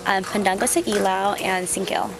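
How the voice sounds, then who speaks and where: A woman's voice: mid-range voice, little pitch movement, moderate pace, gravelly, heavy background noise. A young woman who dances in a student show, answering casually in an interview. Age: young adult. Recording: loud crowd chatter behind.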